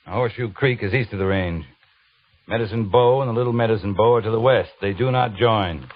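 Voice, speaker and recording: An actor playing a stern, exacting cavalry captain with a clipped, commanding manner in an old-time radio western. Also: male voice, lowish voice, a little fast, natural voice. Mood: curt, stern. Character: stern, exacting male cavalry captain, brusque, grudgingly fair. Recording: muffled old radio broadcast, audible hiss.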